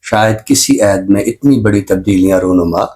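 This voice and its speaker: Pakistani man explaining in measured phrases. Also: lowish voice, a little mellow. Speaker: expert guest on a literary and social talk show. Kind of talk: reflective discussion.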